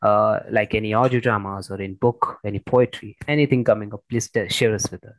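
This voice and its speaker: A man's voice: fairly low pitch, fairly quick, no room sound, poor audio. A man hosting a conversational interview, often repeating his words and phrases as he goes. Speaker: podcast host interviewing a guest.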